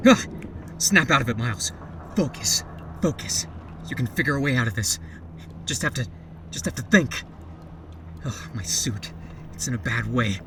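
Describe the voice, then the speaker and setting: A man's voice: moderate pace, long pauses, lightly acted. An actor playing a man trapped in a diving suit on the sea floor, talking himself through his fear. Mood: frightened. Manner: breathless, talking to himself, rising into screams. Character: male deep-sea diver trapped, panicking. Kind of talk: amateur radio play acting. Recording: distant mic with steady underwater rumble.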